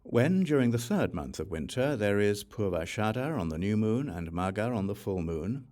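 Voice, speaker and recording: A man with a low voice reads a scripted religious text as an audiobook narrator. His delivery is measured and formal, with lively rises and falls in pitch as he recites. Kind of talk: scripted reading of a Buddhist scripture.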